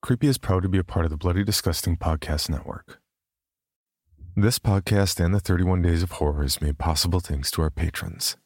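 A man's voice: low-pitched, a little fast, darkish tone, dry recording. A man hosting a podcast, reading out scripted announcements. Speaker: podcast host.

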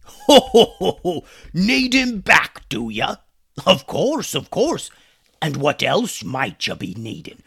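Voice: medium pitch, huge pitch swings, slow pace, creaky, fairly bright tone, husky, no room sound, studio quality, pitched above the performer's own voice, heavily acted. A storyteller voicing a jeering, villainous pirate captain in a children's story. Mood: mocking. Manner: mocking, jeering. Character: gloating, hearty male pirate captain, bearded and villainous.

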